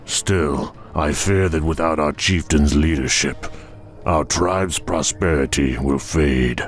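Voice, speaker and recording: A man voicing a solemn, mystical tauren tribesman in a video game, grave and brooding in a very deep voice. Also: slow pace. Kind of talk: video game cutscene acting. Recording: music behind the voice.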